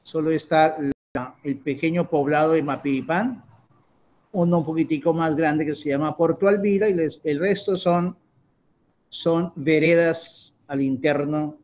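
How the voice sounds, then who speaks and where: Halting priest showing a map. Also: male voice, fairly high pitch, darkish tone, slight background noise. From Colombia. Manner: halting, with many restarts and fillers. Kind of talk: presentation explaining a map.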